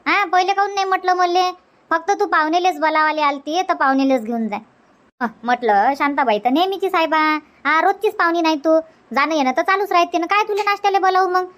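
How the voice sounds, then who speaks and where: A woman's voice: very high-pitched, fast, fairly bright tone. A woman voicing a chatty, insistent middle-aged village housewife in a comedy cartoon, speaking colloquial Varhadi Marathi from Vidarbha. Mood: mock complaining.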